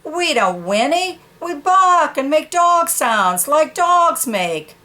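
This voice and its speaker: A woman reading a children's picture book, voicing a small, feisty, defensive dog with emphatic, drawn-out retorts. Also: sits high, sing-song, bright, forceful tone, pitched above the performer's own voice, heavily acted. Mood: indignant.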